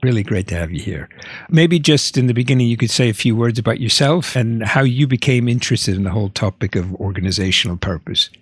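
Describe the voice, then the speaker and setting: A man hosting a podcast, reading a prepared introduction and asking a guest questions in a clear, prepared way. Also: mid-range voice, animated, fast, dry recording. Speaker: podcast host. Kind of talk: scripted intro and interview questions.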